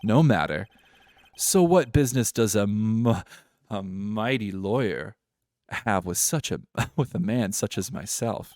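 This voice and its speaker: A man's voice: on the low side, animated, unhurried, darkish tone, dry recording, clean studio sound. Man putting on a pompous, mock-posh British CEO. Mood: condescending. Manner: pompous, affected, showy with big words. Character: smug pompous male CEO, slick salesman type. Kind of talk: live-read video game character voice.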